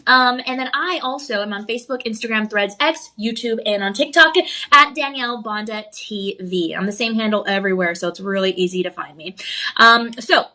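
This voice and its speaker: A woman hosting a live home shopping show, bubbly and gushing, her voice slightly raspy from a cough. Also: mid-range voice, a little fast, bright, forceful tone. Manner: bubbly and effusive, gushing over the product. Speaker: shopping channel host.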